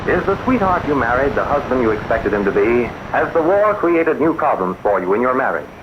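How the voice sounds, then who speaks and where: A man's voice: mid-range voice, sing-song, fairly quick. A man announcing a 1940s radio advertisement in a formal, dramatic style. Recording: muffled, noisy vintage radio recording.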